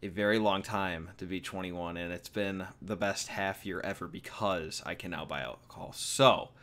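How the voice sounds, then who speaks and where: Low-voiced young man, rambling. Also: fairly even intonation, moderate pace, a bit bright. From United States. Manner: rambling and jokey, with fillers and repeats. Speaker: YouTuber answering viewer questions. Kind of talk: casual Q&A vlog.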